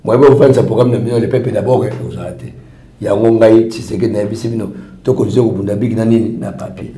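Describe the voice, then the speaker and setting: A man's voice: on the low side, steady tone, fast, warm tone, roomy sound. A man from Congo, a party leader, answering interview questions in a rambling, repetitive way. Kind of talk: interview answers mixing French and Lingala.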